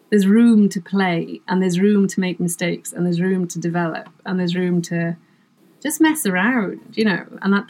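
A woman's voice: mid-range voice, darkish tone, poor audio. Chatty self-deprecating Welsh woman. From Wales. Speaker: comedy writer, guest. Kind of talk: casual interview chat.

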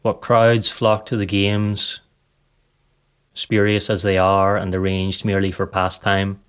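A man narrating a scripted guided meditation in a calm, measured, soothing way. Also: low voice, smooth.

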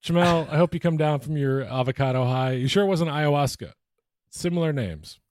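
A man's voice: a little high, expressive, brisk, darkish tone, no room sound, studio quality. A man co-hosting a podcast in casual chat, joking and swearing freely. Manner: profane, joking. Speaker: podcast co-host.

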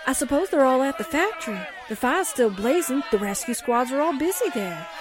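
A woman's voice: sits high, sing-song, a little fast. An actress playing an alarmed, eager young woman, a reporter's sidekick in a 1940s-style radio drama. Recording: noisy crowd sound effects behind.

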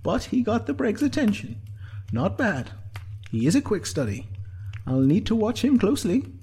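Guy doing a sardonic woman healer in a fantasy audiobook, high pitched, confident, dry and needling. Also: very animated, normal speed, mellow, slightly rough, full character voice. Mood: shrewd, appraising. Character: sharp, confident female healer, shrewd and sardonic.

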